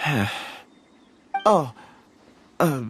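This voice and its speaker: An actor playing a gentle, unassuming young man in a video game, with a halting, tentative delivery. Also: on the high side, big pitch swings, very noisy, full character voice. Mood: faint, uneasy.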